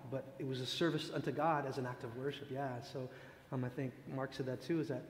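A man whose native language is Tagalog gives informal religious teaching, thinking aloud in a reflective, halting way. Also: mid-range voice, steady tone. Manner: reflective, halting, searching for words. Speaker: speaker leading a worship teaching session. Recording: echoey hall, long reverb, background noise.

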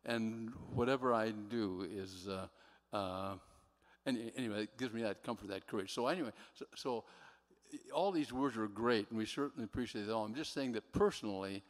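A man's voice: on the low side, moderate pace. Earnest man in a reverberant hall. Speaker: audience member. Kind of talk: personal testimony.

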